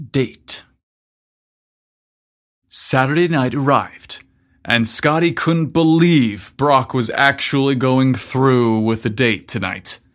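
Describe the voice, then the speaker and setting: A man narrating scripted fiction in an expressive storytelling manner. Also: medium pitch. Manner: expressive storytelling, acts out dialogue.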